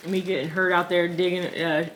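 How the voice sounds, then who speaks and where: A woman's voice: fairly low pitch, a bit bright, slight background noise. A young woman vlogger chatting casually and teasing over a seafood meal, with a playful drawl. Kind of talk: casual mukbang chat.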